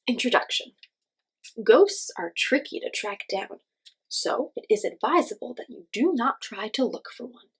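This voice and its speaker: A woman's voice: on the high side, animated, normal speed, slightly rough, poor audio. A woman hosting a children's read-aloud, reading a picture book expressively and speaking directly to young listeners with simple questions and explanations.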